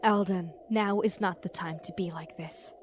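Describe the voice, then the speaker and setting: A woman's voice: on the low side, steady tone, normal speed, slight background noise, lightly acted. An actress playing a level-headed, wry guardian warrior and mother, coaxing but firm, in a fantasy audio drama. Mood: tense.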